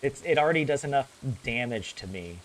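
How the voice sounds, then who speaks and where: A man's voice: mid-range voice, lively intonation, a little fast, slight background noise, poor audio. A young man with a general American accent chatting casually with his viewers while he plays a game, rambling and correcting himself as he goes. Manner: rambling, chatty, self-correcting, laughs often. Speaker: gaming streamer.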